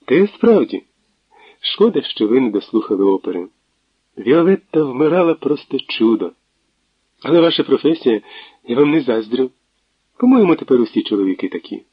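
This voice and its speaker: A man voicing a lively young woman who teases and laughs over the phone, in an audiobook reading. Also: medium pitch, normal speed, long pauses, husky, dry recording, dull audio. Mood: teasing. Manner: laughing, teasing, chatty.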